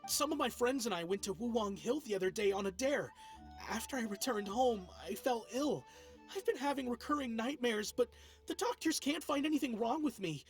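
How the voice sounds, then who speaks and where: A jittery young man from a video game, played by a woman, with a high voice over faint music. He is hesitant and anxious, easily frightened. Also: lively intonation, moderate pace, natural voice. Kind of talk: video game character acting.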